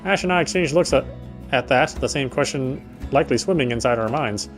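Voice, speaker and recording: A man's voice: mid-range voice, expressive, brisk, soft background music. A man doing a let's play, reading game text aloud and adding casual, sardonic commentary. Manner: sardonic, informal. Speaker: let's player.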